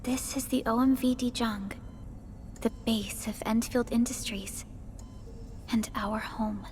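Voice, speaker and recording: Soft, unhurried young female supervisor. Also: a little high, steady tone, in a large hall, a little hiss or hum. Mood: gentle, reassuring. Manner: gentle, measured, formal, reassuring. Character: calm, caring young female supervisor of an industrial company. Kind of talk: scripted video game voice acting.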